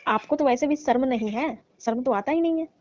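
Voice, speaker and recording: A woman acts out a young daughter-in-law in a staged comic phone call. She speaks in a high voice with teasing mock reproach, on slightly muffled, lo-fi audio. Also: fairly quick, a little mellow. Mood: reproachful, teasing.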